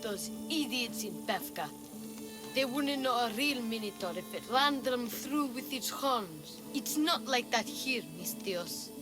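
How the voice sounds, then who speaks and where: An actress voicing a feisty, earnest child adventurer in a video game, with a plucky, dramatic way of telling things. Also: female voice, fairly high pitch, expressive, a little slow, music in the background. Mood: scornful, insistent. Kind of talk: video game cutscene acting.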